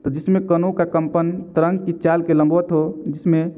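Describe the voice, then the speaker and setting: A male tutor with a slightly high, level voice works through quiz questions in a steady question-then-answer rhythm. The recording is thin and tinny, like a phone line. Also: normal speed. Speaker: exam-prep tutor.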